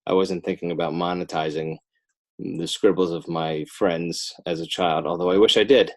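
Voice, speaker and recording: American guy with a deep low voice, chatting on a podcast, rambly and kinda self-deprecating. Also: fairly even intonation, normal speed. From northern New Jersey, United States. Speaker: podcast guest, children's book author and illustrator. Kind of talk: casual interview chat.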